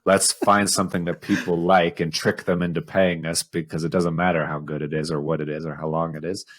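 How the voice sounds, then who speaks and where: Deep voiced guy on a podcast, just casually talking movies. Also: level tone, brisk. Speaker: podcast co-host. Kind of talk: casual film discussion.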